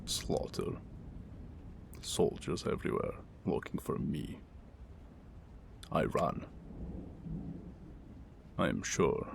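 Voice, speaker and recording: A man playing a wounded mercenary warrior in an ASMR roleplay, guarded and wry but secretly tender, murmuring slowly with halting, formal, old-fashioned phrasing. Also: exaggerated voice. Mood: haunted, brooding. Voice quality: deep, gravelly, breathy murmur. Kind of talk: ASMR roleplay acting, intimate second-person monologue. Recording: rain and thunder ambience noise. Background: rain and thunder.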